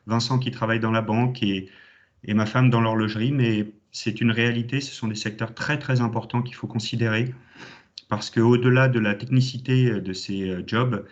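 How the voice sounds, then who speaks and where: Enthusiastic man on a dull call. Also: lowish voice. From Champagne, France. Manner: enthusiastic, many hesitations. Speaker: alumni guest speaker. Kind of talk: online conference talk. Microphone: video call. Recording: dull lo-fi online call audio.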